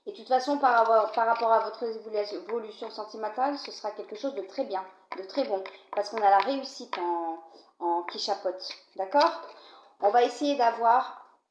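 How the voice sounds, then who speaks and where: A woman's voice: normal speed, slight background noise. A woman with a cold giving a card reading, talking straight to the viewer in a chatty way and often checking in with the listener. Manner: conversational, addresses viewer directly with frequent "d'accord?". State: has a cold, coughing. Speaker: card reader. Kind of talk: tarot-style card reading to camera. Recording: low-volume, dull, roomy home recording.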